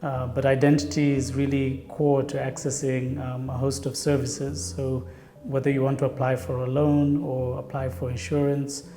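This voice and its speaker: A man from Zambia, a company product officer, explaining in a measured interview tone. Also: medium pitch, flat delivery, dark tone, faint music behind.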